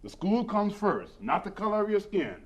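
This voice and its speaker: Pragmatic college president, middle-aged, brisk lecturing manner, lively swooping intonation, radio drama. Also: male voice, high voice, slightly rough, muffled recording, heavily acted. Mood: stern, cautioning.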